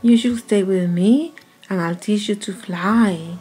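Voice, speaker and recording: A woman's voice: medium pitch, slow, warm tone, faint music behind, pitched below the performer's own voice, close to an everyday voice. A woman voicing a patient, protective mother bird in a storybook, firm and motherly as she instructs. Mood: patient, soothing. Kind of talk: dramatized picture-book storytelling.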